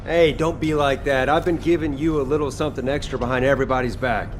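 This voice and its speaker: A man's voice: medium pitch, fairly even intonation, quick pace, slight background noise, close to an everyday voice. An actor playing a cocky, exasperated young male soldier in a video game, brash and teasing. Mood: casual, teasing.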